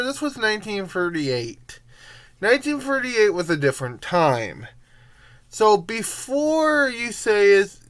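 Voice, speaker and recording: Slow, swooping young adult reviewer, casual and rambling. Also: medium pitch, a bit bright. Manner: rambling, informal, halting with trailing thoughts. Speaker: amateur reviewer.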